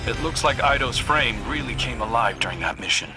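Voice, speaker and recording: A man's voice: medium pitch, animated, brisk, lightly acted. An actor playing a matter-of-fact, encouraging male space agent briefing a hero in a video game. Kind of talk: video game cutscene acting. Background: cinematic music.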